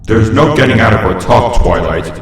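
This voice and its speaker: A man's voice: on the low side, lively intonation, lightly acted. Echo-drenched menacing living doll, voiced by a man. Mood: coaxing. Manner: slow, falsely friendly, coaxing. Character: menacing living stuffed toy, calm, possessive, creepy. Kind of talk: horror fan fiction reading. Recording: heavy cavernous echo and long reverb.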